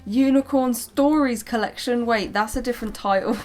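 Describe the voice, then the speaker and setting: A woman's voice: a little high, moderate pace, darkish tone, faint music behind. A young British woman reading her own childhood story aloud with casual, playful, self-mocking commentary. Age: young adult. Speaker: vlogger.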